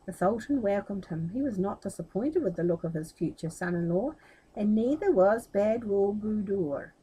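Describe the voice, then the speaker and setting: A woman storyteller reading a children's tale aloud expressively, with animated, chatty asides. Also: medium pitch, husky, low-quality recording.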